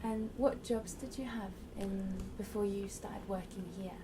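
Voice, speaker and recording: A woman conducting an oral history interview, asking gentle, hesitant questions with frequent ums. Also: medium pitch, steady tone, unhurried, far from the mic, rough recording.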